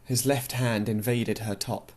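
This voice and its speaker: A man's voice: lowish voice, fairly even intonation, a little slow, darkish tone. A man narrating a literary novel as an audiobook, reading expressively and acting out the dialogue.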